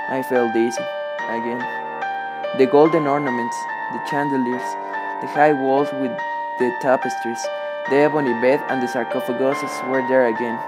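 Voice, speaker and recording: A troubled, delusional widower played by a man tells a gothic radio tale over loud music. His voice sits at a middling pitch, and his wording is literary and deliberate. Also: lightly acted. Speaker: lead actor and narrator. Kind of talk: scripted gothic radio drama narration. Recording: music under the voice.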